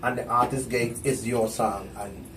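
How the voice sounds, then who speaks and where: A man's voice: mid-range voice, slight background noise. Caribbean man, roomy distant sound. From U.S. Virgin Islands. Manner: relaxed, conversational, quick bursts with laughs. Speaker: songwriter and performer guest. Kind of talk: casual interview chat. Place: radio studio.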